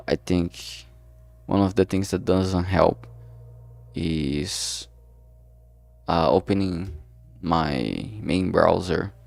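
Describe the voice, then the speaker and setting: A man's voice: low-pitched, gravelly, a little mellow. A man chatting casually on a work stream, thinking aloud hesitantly and often trailing off. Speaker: streamer making a visual novel.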